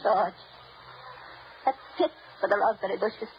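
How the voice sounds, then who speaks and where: A woman's voice: medium pitch, measured pace, a little hiss or hum, natural voice. A woman playing a frail, nervous old woman in a wheelchair in an old-time radio drama, stammering and pleading. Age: older. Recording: dull lo-fi radio recording.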